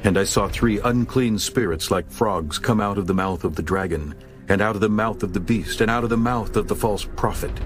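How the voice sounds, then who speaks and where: A man's voice: fairly low pitch, moderate pace, faint music behind. A man narrating a dramatized scripture audiobook in a solemn, formal manner.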